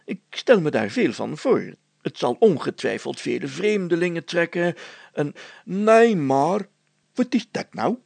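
A man's voice: fairly high pitch, sing-song, unhurried, creaky, a little mellow, no echo, voice pushed up, full character voice. A man voicing a bossy, sly village mayor who talks pompously with hems and repeats while feigning kindness in a storybook.

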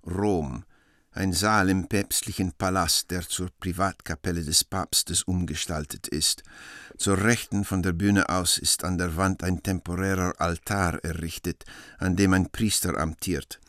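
A man's voice: low voice, fairly even intonation, a little slow, fairly bright tone, dry recording, clean studio sound. A man reading scripted stage directions as narrator of a radio play.

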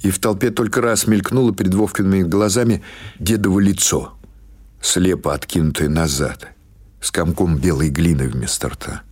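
A man reading literary prose as an audiobook narrator, in a measured, dramatic storytelling manner. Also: sits low, darkish tone, breathy edge.

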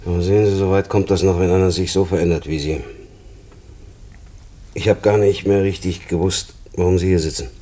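Very deep, muffled-toned prison inspector. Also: male voice, fairly even intonation, a little fast, dark tone. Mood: apologetic. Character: weary, apologetic prison inspector on night duty. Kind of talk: German radio play acting.

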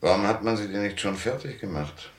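An actor playing a brusque, wry private detective in a German radio drama, with a sardonic, curt delivery. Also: male voice, lowish voice, brisk, roomy sound, lightly acted. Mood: sardonic, knowing. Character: Marlow, brusque, wry, hard-boiled male private detective.

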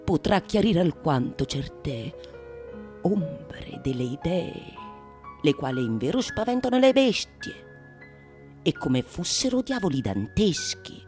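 Slow, solemn theatrical philosopher. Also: female voice, sits low, sing-song, a little mellow, faint music behind, heavily acted. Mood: ardent, hushed. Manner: solemn, declamatory, deliberate with long pauses. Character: grave, ardent philosopher-author declaiming a dedication. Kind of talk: theatrical monologue, radio theatre.

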